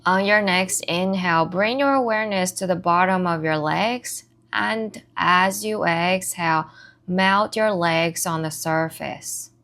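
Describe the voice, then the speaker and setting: A woman leading a guided body scan meditation as an instructor, giving soothing, measured instructions in short phrases with pauses between them. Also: on the low side, fairly bright tone. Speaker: meditation and somatic movement instructor.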